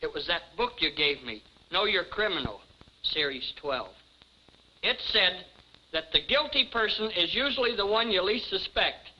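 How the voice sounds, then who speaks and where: A comically pompous amateur sleuth in vintage TV comedy, simple-minded and pleased with himself. The voice is high and sharply bright on a dull 1950s television recording. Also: male voice, expressive, normal speed, a little hiss or hum, full character voice. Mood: smug. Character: dim-witted, self-satisfied, dutiful amateur detective, comically pompous. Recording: muffled 1950s television soundtrack.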